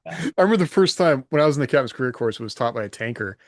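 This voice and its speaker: Male podcast co-host, mid-pitched, dry close sound, casual and self-deprecating. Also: expressive, racing, no echo. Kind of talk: casual chat.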